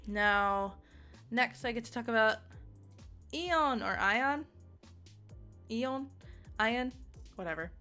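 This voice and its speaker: A young woman giving a casual, excitable game review, giggling often and rambling with fillers and restarts. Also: fairly high pitch, takes it slowly, long pauses, soft background music.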